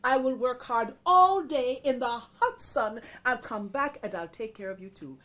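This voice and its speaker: A woman storyteller voicing a kind, patient, hardworking male rooster in an earnest, drawn-out way. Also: a little high, animated, fairly bright tone. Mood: reassuring, earnest. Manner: earnest, soothing, stretched crowing calls.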